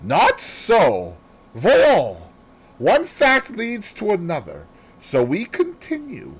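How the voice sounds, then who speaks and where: A man voicing a fussy, excitable little Belgian detective in an audiobook, theatrical and precise, dropping in French phrases. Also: high voice, slow, husky, voice pushed up, heavily acted, poor audio. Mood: excited, methodical. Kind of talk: audiobook character voice.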